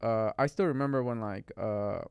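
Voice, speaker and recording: A man's voice: lowish voice, moderate pace, gravelly, a little mellow, smooth, no echo. Young man from Mexico, rambling. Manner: rambling, lots of "like" and "bro". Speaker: guest artist. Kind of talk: casual chat. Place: podcast studio. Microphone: studio mic on boom arm.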